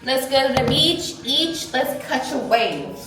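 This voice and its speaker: A woman's voice: sits high, lively intonation, measured pace, music in the background. A young woman from Kenya chatting casually in a travel vlog, joking and laughing often, filmed on a phone. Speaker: vlogger. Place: beach outdoors. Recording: outdoor noise behind.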